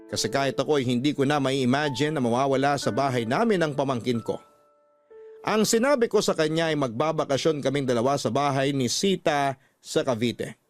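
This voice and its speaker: A man hosting a radio show, reading a listener's letter as a heartfelt, sentimental story. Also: on the high side. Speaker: radio host narrator.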